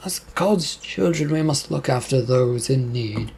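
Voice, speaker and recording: Man playing a kindly, patient preacher, raspy voice. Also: medium pitch, animated, unhurried, heavily acted. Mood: placating. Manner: gentle, placating, pious. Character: kindly, patient male preacher, soft-hearted.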